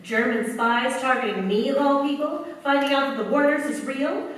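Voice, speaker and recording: Earnest, flustered young American working girl, acted by a woman, highish voice, radio drama, recorded in a very echoey hall. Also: moderate pace, close to an everyday voice. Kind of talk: staged 1940s-style radio play acting. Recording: echoey hall, distant stage mic, faint noise.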